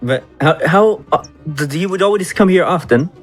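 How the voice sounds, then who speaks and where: A man playing a bard in a tabletop game, improvising in character with courteous, halting, mock-formal phrasing. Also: on the high side, lively intonation, faint music behind.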